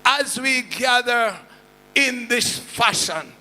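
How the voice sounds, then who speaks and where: A Jamaican man, a pastor, leading a fervent shouted prayer at a political rally with a preaching cadence. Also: very high voice, lively intonation, slow pace, in a large hall. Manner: preaching cadence, loud repeated declarations.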